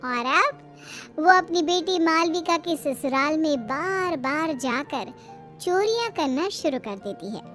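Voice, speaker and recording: A woman reads a scripted moral tale as a storyteller. Her voice is extremely high in pitch. Faint music plays behind the narration. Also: lively intonation, slow, fairly bright tone.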